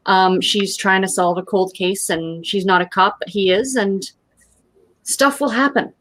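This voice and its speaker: A woman's voice: medium pitch, measured pace, fairly bright tone. A woman on a livestream panel, chatting in an informal way. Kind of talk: casual livestream chat.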